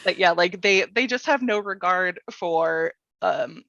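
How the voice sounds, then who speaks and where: A woman's voice: fairly low pitch, a little slow, heavy background noise. A woman hosting a casual storytelling workshop, playful and enthusiastic, on a close but dull-sounding microphone. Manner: playful, joking, enthusiastic about the material. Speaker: workshop host and presenter.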